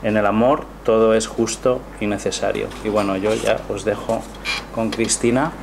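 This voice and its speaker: A man giving a measured, reflective presentation of his book before an audience in a small cultural café. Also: fairly low pitch, fairly even intonation, creaky. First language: not Catalan. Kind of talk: prepared presentation speech with poetry reading. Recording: noisy room ambience.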